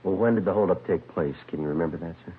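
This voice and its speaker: An actor playing a terse, deadpan American police detective in a 1950s radio drama, with matter-of-fact case narration. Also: male voice, low-pitched, fast talker, a little hiss or hum, natural voice. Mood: businesslike questioning. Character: low-voiced, matter-of-fact police detective, dryly skeptical. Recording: tinny, band-limited vintage radio recording.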